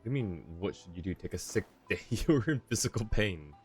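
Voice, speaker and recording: A man's voice: low voice, expressive, moderate pace, breathy edge, faint music behind. A man streaming a video game, making rambling, chuckling asides to the characters. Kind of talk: casual live game commentary.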